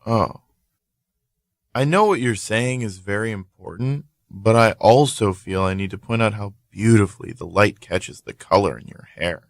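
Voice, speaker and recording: A young man playing an earnest, suddenly lovestruck young man in a radio play, talking in halting, breathless bursts. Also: lowish voice, vocal fry, no echo. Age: young adult. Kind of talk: amateur radio play acting.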